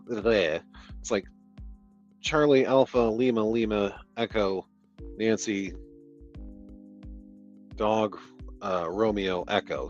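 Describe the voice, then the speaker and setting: A low-voiced man with a Midwestern American accent from the Detroit area mocks a book on his livestream, sarcastic and freely swearing. The audio is a bit dull and lo-fi, with faint music running behind him. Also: a little mellow. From Detroit area, United States. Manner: sarcastic, profane, laughing at the book. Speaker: streamer reviewing a novel. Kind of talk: casual book-review chat on a livestream.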